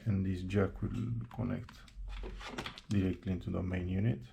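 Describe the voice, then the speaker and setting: A man narrating a hands-on how-to tutorial step by step, speaking haltingly in short broken phrases. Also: low-pitched, little pitch movement, vocal fry, noisy background. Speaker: presenter of a how-to installation tutorial.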